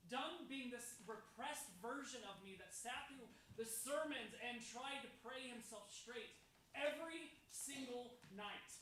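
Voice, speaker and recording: A woman's voice: a little high, unhurried, fairly bright tone. Woman acting a hurt, defiant son, echoey stage. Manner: emphatic, accusing. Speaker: actor in a staged reading. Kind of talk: staged play reading. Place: large echoey room, live staged reading. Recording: echoey hall, distant mic, faint noise.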